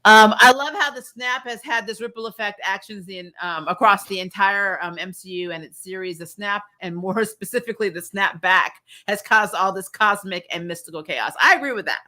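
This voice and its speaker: A woman's voice: mid-range voice, moderate pace, few pauses. A woman hosting a casual pop-culture review chat, joking and swearing freely as she talks. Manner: animated joking, swears freely, laughs often. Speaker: host of a review show.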